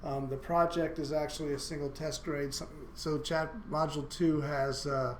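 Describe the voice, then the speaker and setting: Monotone halting male lecturer. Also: a little high, a little hiss or hum. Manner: halting, many "uh" fillers and restarts. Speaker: university instructor. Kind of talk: recorded online course syllabus walkthrough. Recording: roomy echo, faint room ambience.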